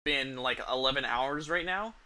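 A young man chatting casually on a game livestream, halting and hesitant, with a sweary, offhand way of talking. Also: mid-range voice, bright, forceful tone. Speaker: video game streamer. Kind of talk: casual livestream chat over gameplay. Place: small indoor room at home.

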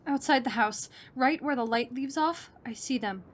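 A woman playing a frightened young lady besieged by dark creatures in a horror radio drama. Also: sits high, normal speed. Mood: fearful. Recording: clearly noisy background.

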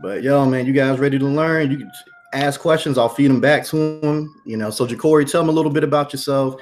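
A man's voice: medium pitch, brisk, soft background music. A man in his thirties hosting a casual, slangy livestream chat from a room at home over a webcam. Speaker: show host, entrepreneur. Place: dim room at home, indoors. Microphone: webcam video call.

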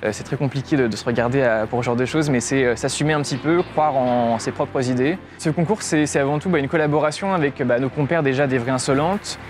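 A man's voice: mid-range voice, fast, few pauses. A young man, a law student, speaking in a street interview with frequent hesitations. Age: young adult. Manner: hesitant, many "euh" fillers. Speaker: law student, association president. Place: outdoors in front of a building. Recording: music bed under the voice.

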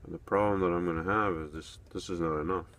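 A man's voice: low-pitched, steady tone, fast talker, a bit bright. A man in his forties making a casual vlog inside a small camper van, thinking aloud with fillers and restarts as he works. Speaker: van life vlogger.

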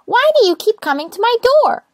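A woman's voice: extremely high pitch, big pitch swings, fairly quick, a little mellow, no room sound, voice pushed up. A woman voicing a small, grumpy female rosebud in a children's storybook, indignant and emphatic. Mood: exasperated.

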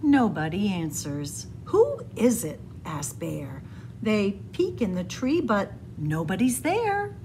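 A woman reading a picture book aloud in an animated, deliberate, sing-song storytelling style. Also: mid-range voice, a little hiss or hum. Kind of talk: expressive children's read-aloud storytelling. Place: indoors, room with bookshelves.

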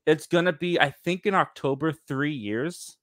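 Mid-twenties male host for a casual live chat; upbeat, filler-heavy, a touch high, dry home-studio sound. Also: fairly bright tone, no room sound. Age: 20s. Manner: chatty, quick and upbeat, with frequent fillers. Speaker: livestream host. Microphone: boom mic in front of face.

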